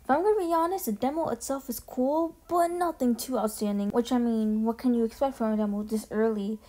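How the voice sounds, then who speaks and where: A woman's voice: on the high side, a little fast, mellow. A young woman giving casual, slangy, emphatic opinion commentary as a voice-over. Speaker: video creator ranking game trailers. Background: music under the voice.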